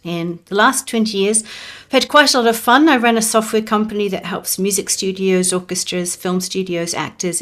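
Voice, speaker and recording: A woman giving a prepared academic talk remotely into a close mic, in a measured, reflective manner. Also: lowish voice, fairly bright tone. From New Zealand. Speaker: conference presenter. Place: presenting remotely over the internet. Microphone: close microphone.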